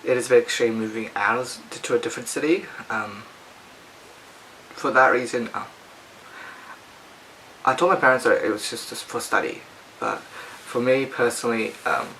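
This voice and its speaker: Young Australian male vlogger, bright forceful voice, halting personal delivery, faint hiss. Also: mid-range voice, rough recording. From Australia (Adelaide). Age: young adult. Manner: hesitant, halting, sighing. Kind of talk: personal vlog, reflective casual talk.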